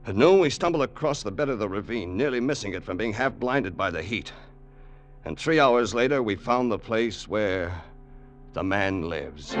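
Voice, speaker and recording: An actor playing a loyal, excitable hired gunman with rough grammar in an old-time radio adventure. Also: fairly low pitch, natural voice. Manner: plain-spoken rough grammar, calls his boss "boss". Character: loyal, excitable hired gunman narrating desert treasure hunt. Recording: vintage 1950s radio broadcast with music.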